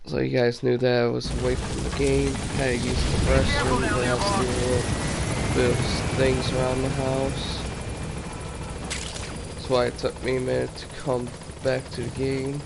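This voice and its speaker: Man, scratchy congested voice, gravelly, very flat monotone, casual stream chat, noisy background. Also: mid-range voice, moderate pace. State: stuffy from a cold or allergies. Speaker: gaming streamer.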